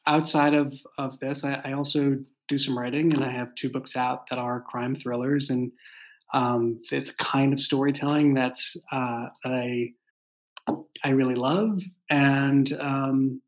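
A man speaking as a writer in a reflective interview, explaining his thoughts in a halting, thoughtful way. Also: on the high side. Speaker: writer and show creator being interviewed.

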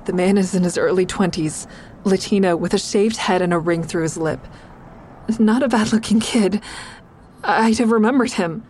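An actress playing a wary, anxious woman who narrates an audio drama in the first person, measured and confiding. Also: medium pitch, darkish tone, a little hiss or hum, natural voice. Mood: tense, measured.

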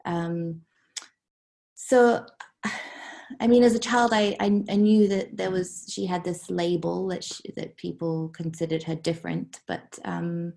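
Novelist lady being interviewed, mellow creaky voice, lots of ums, goes quiet and whispery. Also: lowish voice, fairly even intonation, slow pace. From Hong Kong. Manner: hesitant, soft-spoken, many ums, drops into whispers. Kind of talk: casual interview.

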